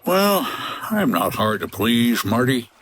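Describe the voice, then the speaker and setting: A man's voice: mid-range voice, big pitch swings, slow, fairly bright tone, a little hiss or hum, low-quality recording. A man playing a wry, tough 1950s boat skipper in a comedy audio drama, talking in a clipped hardboiled way. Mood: calm, bargaining.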